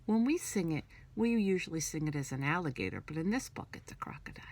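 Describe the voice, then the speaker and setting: A woman reading a picture book aloud to young children in a theatrical, singsong manner, dropping into hushed whispers. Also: deep voice, sing-song, fast talker.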